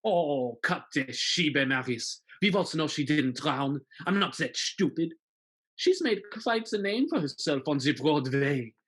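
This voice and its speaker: A man's voice: fairly high pitch, lively intonation, unhurried, fairly bright tone, husky, exaggerated voice. A man acts out a theatrical male villain in a musical melodrama. He is pompous and gloating, pronouncing threats with menace and grand flourish.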